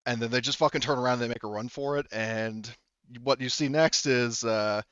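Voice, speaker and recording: A male game master narrates casually, with loose, sweary phrasing and a halting flow. His medium-pitched voice is smooth and clear in tone. The recording is dry but somewhat dull and lo-fi. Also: fairly even intonation, a bit bright, dry recording. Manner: informal, profane, halting delivery.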